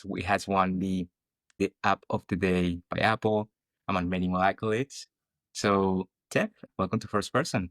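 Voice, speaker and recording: Low-voiced man hosting a podcast. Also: a bit bright, no room sound. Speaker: podcast host. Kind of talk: conversational interview.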